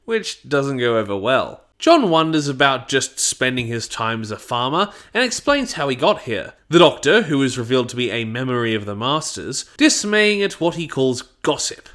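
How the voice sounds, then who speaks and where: A man's voice: mid-range voice, very animated, moderate pace, few pauses, fairly bright tone. A man narrating a scripted review, stressing his phrases emphatically.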